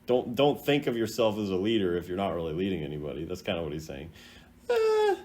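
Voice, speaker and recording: Male video host, casual commentary, animated intonation, laid-back, rambling, hesitant. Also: low voice, fast talker. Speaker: host ranking game voice lines.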